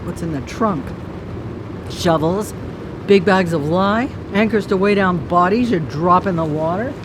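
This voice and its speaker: An actress playing a brazen, needling hitchhiker turned vigilante avenger in a live radio drama, sardonic and in control. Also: female voice, fairly low pitch, measured pace, background noise, natural voice. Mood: mocking, commanding. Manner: taunting, sardonic, cool and in control.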